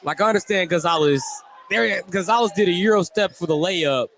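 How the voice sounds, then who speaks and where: A man giving conversational, opinionated color commentary on a live high school basketball game, reacting to plays and explaining them. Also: fairly quick, a bit bright. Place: indoor high school gym during a game. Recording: live gym broadcast with audible crowd. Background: clear crowd noise.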